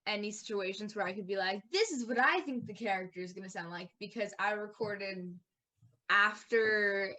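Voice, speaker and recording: A woman's voice: medium pitch, moderate pace, sharp tone, poor audio. A woman voice actor chatting casually on a podcast commentary. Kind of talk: casual chat.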